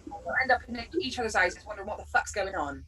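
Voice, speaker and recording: A woman's voice: mid-range voice, animated, fast, pushed and bright, husky, distant mic. A woman from Bristol with a West Country accent, doing a chatty, sarcastic stand-up set over a video call. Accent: West Country English. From Bristol, England. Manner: chatty, sarcastic, bursts of laughter. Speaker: stand-up comedian.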